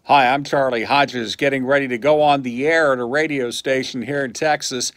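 A man's voice: medium pitch, steady tone, normal speed, fairly bright tone, no echo. Middle-aged radio man, dry studio sound. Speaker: radio host. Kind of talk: radio-style promo.

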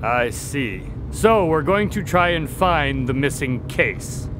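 A man's voice: a little high, expressive, slow, background noise. A man playing a genial, polite doctor and storytelling sidekick in an audio drama. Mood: curious, helpful. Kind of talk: audio drama dialogue and narration.

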